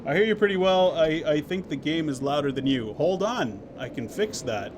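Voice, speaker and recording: A man with a fairly high voice streams a game over clear background noise. He chats casually and playfully with his viewers as host, often breaking off mid-thought to start another. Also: expressive, normal speed. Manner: playful, chatty, self-interrupting banter with viewers. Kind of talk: casual live stream chat.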